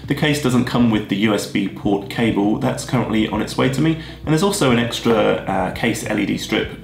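A man, husky from a head cold, walking through his tech choices in a steady, methodical way. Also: on the low side. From Australia. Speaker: video creator. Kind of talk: scripted tech vlog explanation. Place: plain room indoors, seated at a desk. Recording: roomy echo with music behind.

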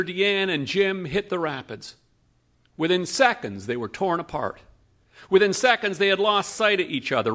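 A middle-aged man narrating a true story on a theatre stage, measured and dramatic with pauses, into a stand mic at a lectern. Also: sits high, bright, forceful tone. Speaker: radio storyteller and host.

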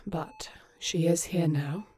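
A woman playing a composed, caring and quietly grieving authority figure in a sci-fi audio drama, speaking in a measured, formal way. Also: sits low, husky, full character voice. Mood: quiet, wistful. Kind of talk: sci-fi audio roleplay acting.

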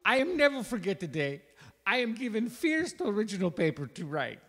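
An older man playing a gleeful, mock-solemn Russian mathematician rogue with a Russian accent, half singing and half speaking a comic patter song. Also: high-pitched. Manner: theatrical, mock-solemn, hammy. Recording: loud acoustic guitar accompaniment.

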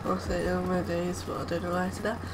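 A woman talking casually over gameplay, soft-spoken and hesitant, with lots of ums and groans. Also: fairly low pitch, level tone, fast. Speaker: gameplay commentator. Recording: loud background noise from the game. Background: game sounds.

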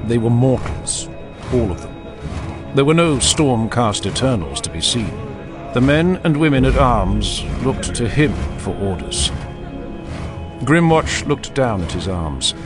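Male audiobook narrator, mid-pitched, dramatic, grave, deliberate, music clearly behind. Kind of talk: scripted dramatic fantasy narration.

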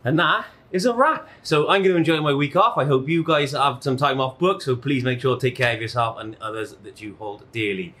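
A man's voice: mid-range voice, a little fast, few pauses, a bit bright. A man in his thirties giving an informal hands-on tutorial, explaining a product step by step. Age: 30s. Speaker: product demonstrator. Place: small indoor showroom.

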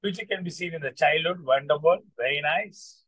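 A man with an Indian accent hosting as a coach, telling motivational stories in a halting, repetitive, encouraging way. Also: on the high side, a bit bright, slightly rough, no room sound, rough recording. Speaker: coach and host. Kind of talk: motivational storytelling to an online group.

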